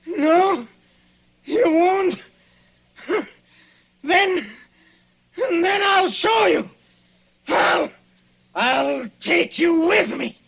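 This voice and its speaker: High, gravelly, desperate madman. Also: animated, very slow, long pauses, exaggerated voice. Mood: desperate pleading. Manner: pleading, staggering, raving. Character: dying, vengeful professor, desperate and raving. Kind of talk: 1940s radio acting. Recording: dull vintage radio recording.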